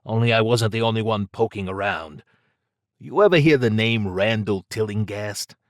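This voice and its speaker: A shifty male thief from an audiobook tale, acted out by a male narrator, low in pitch and recorded dry and close. He is evasive and flippant, covering his nerves with slangy bravado. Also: normal speed, no echo, close to an everyday voice. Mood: uneasy, confiding.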